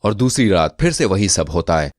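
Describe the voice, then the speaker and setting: A man narrating a scripted comic moral tale as an expressive storyteller. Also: low voice, normal speed, no echo, studio quality.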